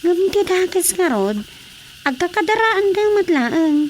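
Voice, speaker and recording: A woman's voice: extremely high pitch, sing-song, a little slow, heavily acted, rough recording. A woman playing a caring grown daughter at home in a radio drama, with faint noise behind her. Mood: concerned, soothing.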